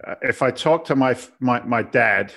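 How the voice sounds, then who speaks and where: A man's voice: mid-range voice, moderate pace, fairly bright tone. This is a man in his fifties from England whose accent has drifted toward American. He talks like a brash, joking guest, full of slang and banter. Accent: English mixed with American. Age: 50s. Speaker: podcast guest, real estate investor. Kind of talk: casual interview chat.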